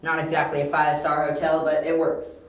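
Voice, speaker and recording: A man playing a clever, determined young detective in an amateur audio drama, wry and quick-witted. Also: on the high side, completely flat delivery, lightly acted. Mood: wry, confident. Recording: echoey room, amateur home recording.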